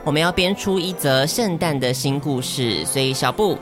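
A woman's voice: deep voice, moderate pace, over music. A woman with a Taiwanese Mandarin accent co-hosting a podcast, moving between casual banter and storytelling. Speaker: podcast co-host.

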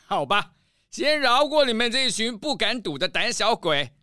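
Blustery fox captain, gambling-mad, goading, very animated pitch, children's radio play. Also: normal speed, no room sound, studio quality. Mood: brash, commanding. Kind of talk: children's audio drama acting.

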